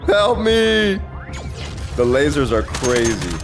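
A young man giving profane, excitable live commentary while playing a game. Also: on the high side, slow, over music. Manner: profane, excitable, reacting aloud. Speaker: gaming streamer. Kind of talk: casual gameplay commentary.

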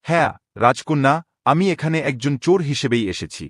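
A man plays a young prince in disguise in a cartoon drama, with a mid-pitched voice in a dry recording. He speaks gravely and formally, respectful yet weighed down. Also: animated, moderate pace, dry recording, close to an everyday voice. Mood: confessing, resolute. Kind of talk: dramatic Bengali animated story dialogue.

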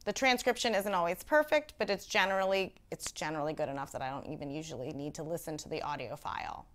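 A woman in her thirties hosting an instructional video, explaining each step clearly to camera with conversational asides. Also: low-pitched, quick pace, a bit bright. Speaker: video host and presenter. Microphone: lapel mic.

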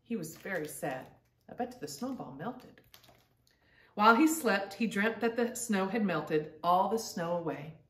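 A middle-aged woman hosts a storytime for small children from her living room. She moves between reading aloud and simple, direct asides and questions to her young listeners. Her pitch sits in the middle for a woman, and the microphone is some distance off, with plenty of room echo around her voice. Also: expressive, a little slow, fairly bright tone. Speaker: storytime host reading to grandchildren. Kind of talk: children's picture-book read-aloud with chatty asides. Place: living room at home, indoors.

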